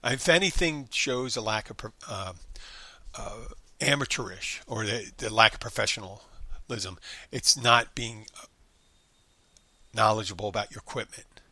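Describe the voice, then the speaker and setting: A man's voice: fairly low pitch, unhurried, pauses often, sharp tone, dry recording. Rambling male podcast host, close and dry. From United States. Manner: rambling, with frequent restarts and fillers. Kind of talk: solo podcast monologue.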